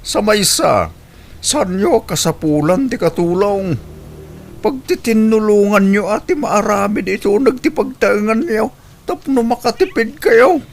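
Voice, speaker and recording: A man's voice: high-pitched, lively intonation, measured pace. A man voicing an elderly, frugal father in an audio drama, advising and admonishing.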